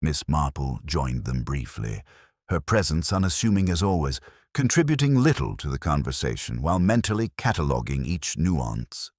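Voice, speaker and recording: A man narrating a scripted mystery story as an audiobook, in a measured, formal storytelling manner. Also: a bass voice, very animated, no echo, studio quality.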